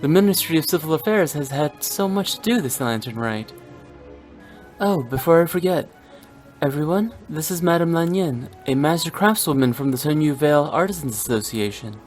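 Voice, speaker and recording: A woman's voice: low-pitched, lively intonation, moderate pace, a little mellow, faint music behind. A woman playing a gracious, composed female civil official in a video game, speaking courteously. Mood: friendly, busy. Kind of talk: animated game dialogue.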